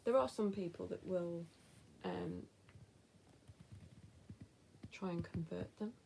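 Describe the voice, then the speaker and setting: A woman's voice: on the low side, normal speed, gravelly, background noise. A woman in her thirties with a West Midlands accent, chatting casually to camera as she answers viewer questions, blunt and with whispered asides. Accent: West Midlands English. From England.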